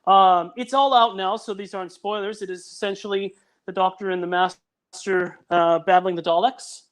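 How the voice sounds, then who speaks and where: A man's voice: sits high, fairly even intonation, moderate pace, fairly bright tone. A man who writes audio dramas, talking as a guest on a video call and thinking aloud while he explains his story. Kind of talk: casual video chat.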